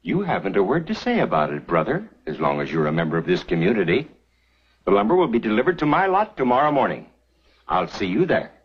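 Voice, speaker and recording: An actor playing a pompous, domineering middle-aged church elder in a 1950s television western, formal and self-important. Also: male voice, lowish voice, wildly swooping pitch, quick pace, slightly rough, heavily acted. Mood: smug, commanding. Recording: muffled old TV soundtrack.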